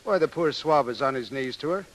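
An actor playing a brash, lovestruck young Irish sailor with an ardent lilt in a 1930s radio play. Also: male voice, mid-range voice, expressive, fairly quick, natural voice. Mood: cocky, teasing. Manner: ardent, lilting, boastful. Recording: hissy, noisy 1930s radio broadcast.

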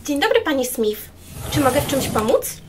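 A woman's voice: sits high, sing-song, moderate pace, very noisy. A woman playing a chatty, deferential, gossipy maid in a radio play, speaking effusively. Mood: polite. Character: chatty, gossipy unmarried hotel-style maid, eager to please. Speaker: amateur radio drama actor.

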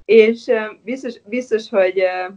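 A woman's voice: on the high side, mellow. A woman in her thirties asking an author polite interview questions over a webcam video call from a room at home. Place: indoor room with a brick wall. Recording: slightly dull webcam audio.

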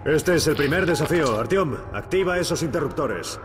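A man's voice: on the high side, animated, normal speed, lightly acted. A man voicing a stern army colonel, echoing. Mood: commanding. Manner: clipped, authoritative orders. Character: stern middle-aged male army colonel, commanding. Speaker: squad commander. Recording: echoey game audio from afar with music.

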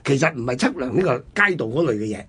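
A man's voice: medium pitch, big pitch swings, fast talker, fairly bright tone, breathy edge. A man with a Hong Kong Cantonese accent, telling history conversationally as a radio co-host.

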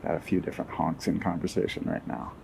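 A man strolls through a city park and talks to the viewers in a relaxed, wry way. His voice sits very low. A faint outdoor ambience runs under it from the camera mic. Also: quick pace, vocal fry, slight background noise. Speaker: walking tour guide. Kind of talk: casual narrated walking tour.

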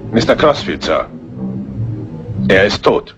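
A man's voice: lowish voice, big pitch swings, takes it slowly, muffled recording, heavily acted. A man playing a surly, brusque stable groom in a crime drama. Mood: subdued. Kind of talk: German crime film dub acting. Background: loud music, horse neighing.

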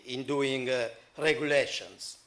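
Slow, halting Italian-accented man. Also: mid-range voice, steady tone, fairly bright tone. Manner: halting, many fillers. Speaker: conference speaker. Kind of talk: academic conference talk. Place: conference hall.

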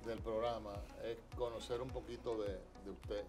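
Older man, doctor co-host, explains things, lapel mic, music clear underneath. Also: lowish voice, steady tone, normal speed. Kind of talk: casual interview chat. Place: TV studio set.

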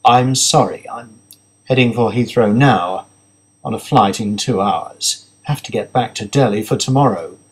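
A man's voice: lowish voice, a little slow, lightly acted, low-quality recording. A harried businessman in an audiobook story, played by a male reader. He talks in blunt, emphatic bursts, curt yet affectionate, with the weight of pressure on him. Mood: grim, apologetic.

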